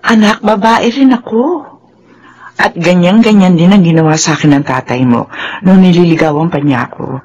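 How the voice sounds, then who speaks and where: A man voicing a caring, knowing middle-aged mother in a Filipino radio drama, husky and expressive. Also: high voice, moderate pace, muffled recording. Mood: reminiscing.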